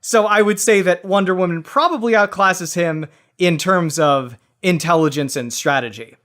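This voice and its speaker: A man's voice: high voice, moderate pace, a bit bright. A man giving casual, long-winded explanations as the judge on a comedy debate podcast.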